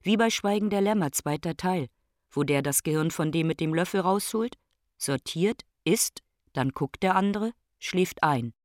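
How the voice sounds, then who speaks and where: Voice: medium pitch, expressive, normal speed, no echo, studio quality, lightly acted. An actor in a radio drama playing a brain specialist who observes his own decline in a musing, matter-of-fact way. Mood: detached fascination.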